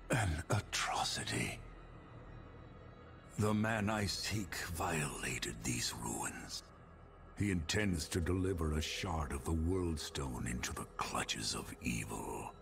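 An actor playing a grim, resolute warrior in a fantasy video game, with a breathy, gravelly voice and solemn, measured manner. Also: male voice, very deep voice, lively intonation, a bit bright, full character voice. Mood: grim, intent. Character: grim, resolute adult male warrior hunting an enemy. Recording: music behind the voice.

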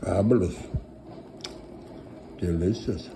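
A man speaks very slowly and haltingly with a lowish voice, pausing often between short phrases. He is filming himself at a home kitchen table on a handheld phone, and steady room noise runs clearly under the voice. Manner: halting, hesitant, many pauses. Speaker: vlogger. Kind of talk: casual cooking vlog.

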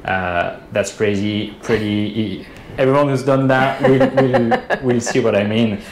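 A man's voice: mid-range voice, sing-song, measured pace, vocal fry. A man in his thirties, a boat designer, explaining things in an interview over a video call, hesitant with many fillers and restarts. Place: indoor room, video call. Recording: roomy webcam audio with faint hiss.

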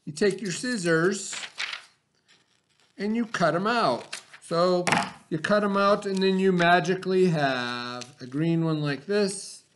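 A man in his forties, a teacher, giving slow step-by-step craft instructions to children from a classroom webcam. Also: high voice, slight background noise. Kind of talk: remote classroom craft lesson. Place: classroom, indoors. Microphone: webcam at desk.

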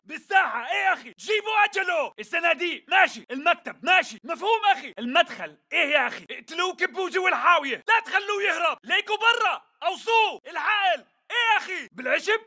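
An actor with a Syrian Levantine Arabic accent voicing an aggressive militant in a video game, barking short, urgent battle callouts. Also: male voice, extremely high pitch, bright, forceful tone, full character voice. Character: aggressive male militant fighter calling out enemy positions. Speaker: game enemy soldier.

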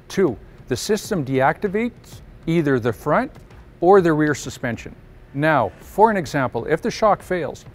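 A middle-aged man giving a scripted technical presentation in a steady, measured voice, recorded on an indoor studio set. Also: medium pitch, a little hiss or hum.

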